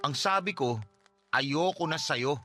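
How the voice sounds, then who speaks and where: A man's voice: a little high, measured pace, bright, forceful tone, faint music behind. A man with a Filipino accent playing a fed-up husband in his thirties in a radio drama, terse and dismissive. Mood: firm, fed up.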